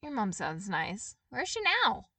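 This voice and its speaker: A woman's voice: on the high side, wildly swooping pitch, measured pace, sharp tone, breathy edge, no echo, distant mic, pitched above the performer's own voice, full character voice. A blunt, bossy kid girl from a storybook adventure, played by a woman. She is demanding and tough, with a caring streak. The sound is dry, a little away from the mic. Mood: blunt, curious.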